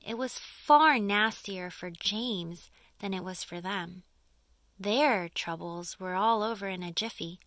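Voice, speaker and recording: A woman narrating a children's storybook expressively, with deliberate, dramatic phrasing and emphasis. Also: mid-range voice, a bit bright, dry recording. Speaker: audiobook reader.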